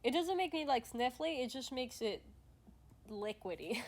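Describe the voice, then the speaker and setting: A woman's voice: high voice, lively intonation, moderate pace. A woman hosting a casual livestream chat, sniffly and stuffed up, rambling with lots of restarts and fillers. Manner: rambling, many restarts and fillers, laughs often. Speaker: streamer host.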